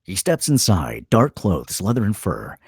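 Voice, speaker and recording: A man's voice: on the low side, huge pitch swings, unhurried, creaky, darkish tone, slightly rough, dry recording, clean studio sound. A man narrating stage directions dramatically at a screenplay table read.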